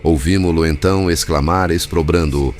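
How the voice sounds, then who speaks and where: A man reading scripted audiobook narration in a formal, measured way. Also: deep voice, fairly even intonation, a little mellow. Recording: music bed behind narration.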